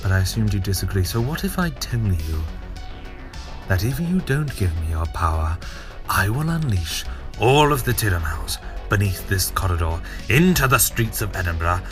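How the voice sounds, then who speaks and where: An actor playing a gloating, taunting villain in an audio drama, with a drawn-out, mocking, theatrical delivery. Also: male voice, lowish voice, very animated, pushed and bright, faint music behind. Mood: gloating menace.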